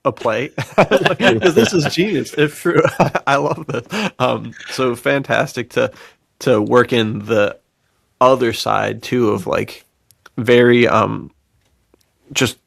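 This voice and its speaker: A man's voice: mid-range voice, takes it slowly, creaky, slightly rough, no echo, clean studio sound. A man hosting a podcast in casual chat, enthusiastic, effusive and slangy. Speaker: podcast host.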